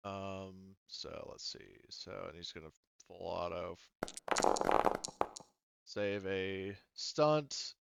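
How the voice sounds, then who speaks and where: Very smooth, low-voiced man running a game. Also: expressive, slow pace, no echo. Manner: hesitant, thinking aloud while working out rules. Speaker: game master. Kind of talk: casual tabletop game refereeing.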